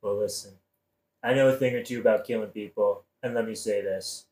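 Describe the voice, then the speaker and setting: A man's voice: lowish voice, steady tone, a little fast, a little mellow, lightly acted. Man playing a scheming, glib king, echoey. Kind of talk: modern-language satirical audio play.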